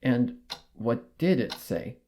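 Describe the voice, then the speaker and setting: A man narrating a first-person horror fanfiction in a measured, brooding way. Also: medium pitch, big pitch swings, mellow.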